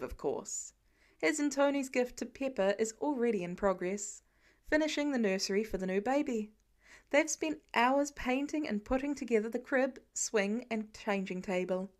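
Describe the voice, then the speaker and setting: High-voiced woman narrating fiction. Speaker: amateur audiobook narrator.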